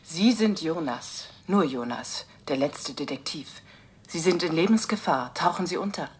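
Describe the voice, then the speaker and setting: A mysterious female caller with a very low, breathy voice. She speaks in a hushed, urgent way, in radio drama style. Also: animated, measured pace, heavily acted. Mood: ominous, hushed. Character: mysterious anonymous female caller giving a warning.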